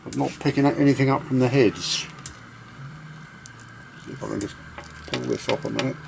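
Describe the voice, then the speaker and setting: Gravelly-voiced man demonstrating gear. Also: medium pitch, noisy background. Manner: halting, thinking aloud. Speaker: vintage audio equipment collector. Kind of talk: unscripted hands-on demonstration, casual talk.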